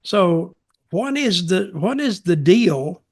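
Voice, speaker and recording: A man's voice: high voice, very animated, takes it slowly. A man co-hosting a podcast, giving casual opinions in a blunt, sarcastic, folksy way. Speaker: podcast co-host, wrestling commentator.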